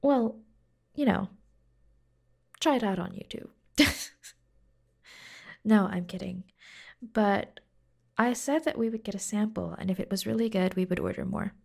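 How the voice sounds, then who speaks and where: A woman playing a caring, teasing secretary in an ASMR roleplay, speaking softly and gently, often dropping to a whisper. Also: mid-range voice, vocal fry. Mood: teasing, coaxing. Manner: slow, gentle, playful, intimate. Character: caring, teasing, devoted young female secretary fussing over her boss. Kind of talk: soft-spoken ASMR roleplay.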